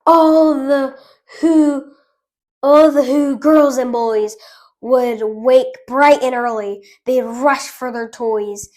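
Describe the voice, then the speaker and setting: Storytime host, woman; friendly chatty read-aloud, slow delivery, high voice. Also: fairly even intonation, darkish tone. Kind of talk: reading a picture book aloud.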